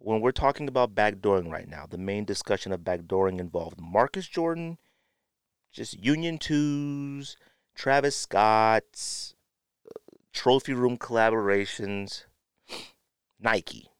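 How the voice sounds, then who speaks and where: Deep male voice, sniffly, emphatic opinionated podcast host, close dry mic. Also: animated, measured pace, clean tone, no echo. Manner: emphatic, repeats phrases for effect. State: sniffly with a runny nose. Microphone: podcast microphone, close.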